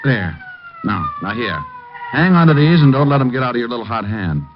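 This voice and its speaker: An actor playing a hard-boiled, skeptical private eye with a wry, slangy delivery in an old radio noir drama. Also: male voice, medium pitch, sing-song, fairly quick, close to an everyday voice. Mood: wry, brisk. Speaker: private detective lead and narrator. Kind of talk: 1940s radio noir acting and narration. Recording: dull vintage radio recording.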